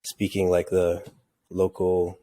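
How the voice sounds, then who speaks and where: A man's voice: low-pitched, takes it slowly. A man from Tanzania co-hosting a podcast, chatting casually and rambling with lots of "like". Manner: relaxed and rambling, heavy use of "like". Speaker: podcast co-host.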